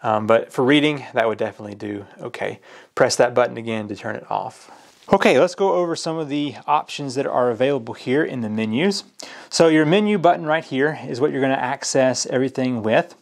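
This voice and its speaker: A man with a mid-pitched voice talks through a product demonstration. He explains each step plainly in a casual, practical way, as a reviewer showing how something works. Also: a little fast, creaky. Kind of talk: casual hands-on product walkthrough. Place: indoors at a work table.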